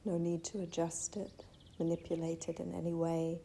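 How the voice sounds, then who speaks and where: A woman teaching guided meditation in a gentle, measured manner, leaving long pauses between phrases. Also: on the low side, monotone, vocal fry. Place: retreat venue. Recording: faint background ambient noise.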